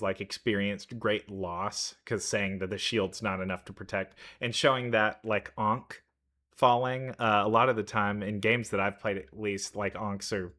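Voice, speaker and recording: A man's voice: lowish voice, a bit bright. A man hosting a reaction video, chatting casually and enthusiastically as he thinks aloud. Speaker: reaction video host, gamer.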